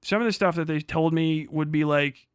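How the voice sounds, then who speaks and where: Higher-voiced man, exasperated sarcastic streamer. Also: normal speed, clear, resonant tone, dry recording, studio quality. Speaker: livestream host reacting to a recorded conversation. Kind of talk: casual reaction commentary, heavy swearing.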